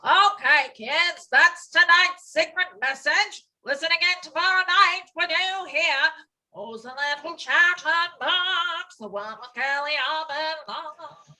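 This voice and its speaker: A woman's voice: very high voice, slow pace, pushed and bright, heavily acted, poor audio. A woman playing a peppy, emphatic old-time radio announcer addressing young listeners. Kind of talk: 1940s radio announcer acting.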